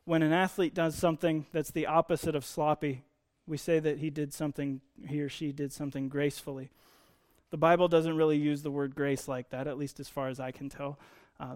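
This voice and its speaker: An American man giving conversational Bible teaching, informally explaining and reading scripture, slowing down to stress key phrases. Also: on the high side, darkish tone.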